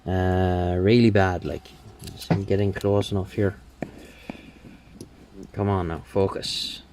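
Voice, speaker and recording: A man's voice: low voice, flat delivery, darkish tone, slight background noise. A man giving a casual, hesitant running commentary as he works on a repair, muttering to himself at times. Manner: unhurried, muttering, hesitant while working. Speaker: hobbyist radio restorer.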